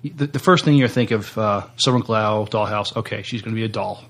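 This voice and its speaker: A man's voice: on the low side, brisk, fairly bright tone. A man co-hosting a podcast in casual discussion, speaking hesitantly in short pieces. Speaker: podcast co-host.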